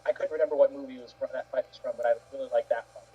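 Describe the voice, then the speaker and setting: Fast-talking man on muffled lo-fi audio. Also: medium pitch, steady tone, slightly rough. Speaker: panelist. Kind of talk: casual movie discussion. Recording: muffled lo-fi connection with faint noise.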